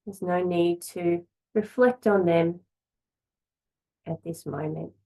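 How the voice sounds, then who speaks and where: A woman teaching a yoga class, giving calm, gentle relaxation guidance in short phrases with long pauses. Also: fairly low pitch.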